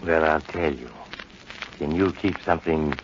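Very deep, sinister storyteller. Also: male voice, fairly even intonation, unhurried, slight background noise. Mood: ominous. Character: sinister, unhurried doctor storyteller of horror tales. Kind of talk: dramatic radio narration. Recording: muffled old radio recording.

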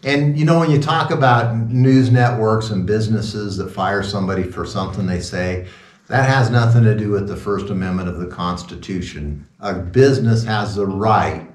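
A man's voice: lowish voice, normal speed. A man in his sixties, a pastor, giving an informal, rambling sermon talk with sarcastic asides. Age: 60s. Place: small wood-paneled room indoors. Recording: roomy echo, distant from the mic.

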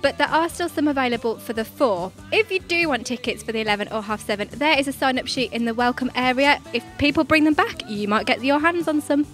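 A woman's voice: sits high, fairly quick, few pauses, fairly bright tone, soft background music. A young woman presenting church news in a cheerful, chatty way, speaking into a handheld mic in a studio. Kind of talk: scripted church announcements. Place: studio in front of a green screen.

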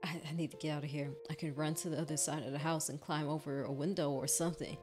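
A woman's voice: low voice, fairly even intonation, fast talker, music in the background, lightly acted. Woman acting a frightened, stammering visual novel heroine. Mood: panicked. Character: frightened young woman, defiant heroine of horror dating game.

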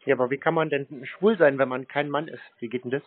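A man's voice: medium pitch, steady tone, quick pace, dry recording. Male scam-baiter, unruffled and dryly needling, casual delivery, telephone-band audio. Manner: unfazed, dryly provoking, nonchalant. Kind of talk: casual phone conversation. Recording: dry, narrow phone-like sound.

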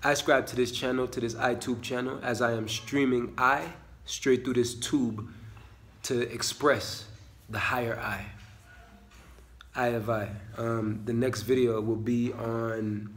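A man's voice: mid-range voice, measured pace. A man in his thirties with a Jamaican accent, talking animatedly to a phone camera in a small room in a spiritual vlog, using Rasta speech. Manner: animated, gestures a lot, Rasta speech patterns. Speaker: channel host. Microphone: phone camera at close range.

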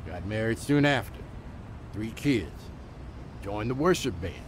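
An actor playing the storyteller of a radio drama, delivering scripted narration. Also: male voice, fairly low pitch, lively intonation, unhurried, noisy background. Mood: narrating. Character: male storyteller narrating a true-life drama.

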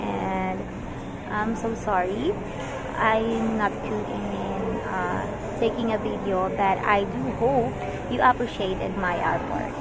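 A woman in her twenties from the Philippines, with a Filipino accent, narrating a casual vlog in halting, simple phrases. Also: mid-range voice, fairly even intonation. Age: 20s. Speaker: vlogger. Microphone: handheld phone, often at arm's length. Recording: noisy on-location phone recording, loud ambience. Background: loud street, car and mall ambience.

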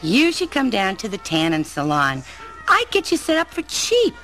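Radio-play girlfriend: brassy, chatty New Yorker, medium pitch, animated. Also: normal speed, soft background music. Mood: friendly. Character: brassy, friendly, nosy Brooklyn girlfriend.

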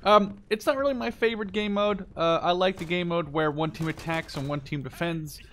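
Male, 20s, slightly high voice; casual gamer host who rambles and self-corrects, with gunfire and clicks behind him. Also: brisk, fairly bright tone, a little hiss or hum. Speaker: gameplay video host. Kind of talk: casual live gameplay commentary. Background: video game gunshots, machine guns, clicks.

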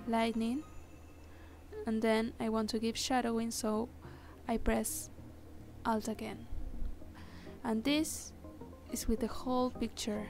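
A woman with a Spanish accent, whose first language is Spanish, talking through a software tutorial step by step with hesitant fillers. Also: fairly high pitch, gravelly. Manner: slow, careful, step-by-step instructions with hesitant fillers. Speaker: tutorial maker. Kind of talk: screen-recorded software tutorial. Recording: voice-over with music playing underneath.

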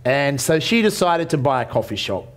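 A man's voice: on the high side, very animated, normal speed. A man in his forties with an Australian accent, presenting to a seminar audience in a conference room, talking casually with many fillers and restarts. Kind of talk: business seminar talk.

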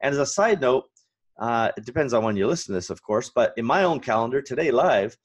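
A man in his forties hosting a solo business podcast from a home office, his voice hoarse and raspy, talking with energy and going off on rants. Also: medium pitch, quick pace. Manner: energetic, ranting, fillers and restarts. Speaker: podcast host.

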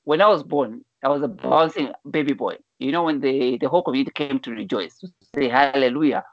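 A man's voice: mid-range voice, fairly quick, no room sound. A Zambian-born man in his forties tells his own life story as an interview guest. He talks over a video call from a room at home. The sound is dry and muffled, a bit lo-fi. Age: 40s. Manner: earnest storyteller, repeats words when starting a thought. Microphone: video call, wired earphones. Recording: dull lo-fi video call audio.

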